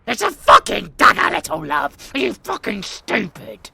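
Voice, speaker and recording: A man playing a sadistic, gleeful vampire abomination in an audio roleplay, with a growling, gravelly voice and a theatrical, taunting manner. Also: high-pitched, wildly swooping pitch, bright, forceful tone, slight background noise, heavily acted. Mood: irritated, snarling. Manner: theatrical, menacing, taunting, drawn-out phrasing. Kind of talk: monster ASMR roleplay acting.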